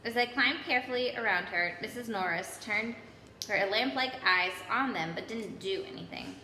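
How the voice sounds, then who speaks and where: A woman reading a children's storybook aloud in an expressive narrating style. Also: mid-range voice, normal speed. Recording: echoey hall, background noise.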